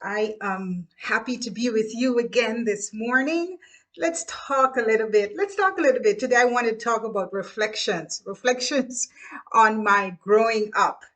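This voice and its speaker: A woman's voice: a little high, moderate pace, fairly bright tone. A middle-aged woman from Guyana with a Guyanese accent, chatting casually about her childhood and laughing now and then as she tells the stories. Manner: chatty, laughs while reminiscing, repeats phrases. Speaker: host. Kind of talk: casual storytelling monologue.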